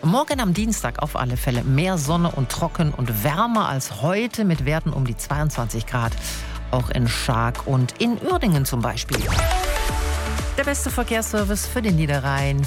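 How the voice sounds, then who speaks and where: A woman hosts an afternoon radio show from the studio, chatty and playful as she runs through weather and traffic. Her voice sits low. Music runs clearly beneath her. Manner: chatty, teasing, light-hearted. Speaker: radio host. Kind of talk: casual radio presenting. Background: music playing under the voice.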